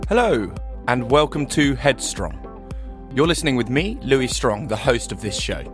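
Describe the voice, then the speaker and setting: A man hosting an interview podcast, giving earnest, measured introductions. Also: medium pitch, very animated, fairly bright tone, faint music behind. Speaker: podcast host. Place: London.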